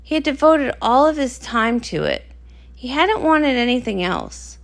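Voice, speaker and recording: High-pitched, animated woman storyteller. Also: unhurried, vocal fry. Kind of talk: expressive comic read-aloud narration.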